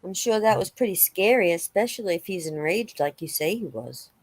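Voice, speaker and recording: A woman's voice: mid-range voice, lively intonation, a little fast, no echo, close to an everyday voice. A woman playing a sympathetic investigator, close and dry. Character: sympathetic investigator, supportive and validating. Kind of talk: dramatic reading of police interview transcript.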